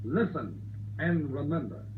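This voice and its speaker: A man's voice: on the high side, big pitch swings, a little slow, recorded from a distance. An American man reading scripted documentary narration in a dramatic, formal manner. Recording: vintage 1950s record through shortwave-receiver speaker, narrowband, hum.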